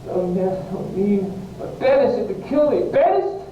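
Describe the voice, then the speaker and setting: A man's voice: fairly high pitch, a little slow, natural voice. A man acting an anguished, impassioned young banished lover in a Shakespearean verse reading. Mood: anguished, protesting. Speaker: amateur actor, English teacher. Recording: echoey room, muffled, distant mic, faint hiss.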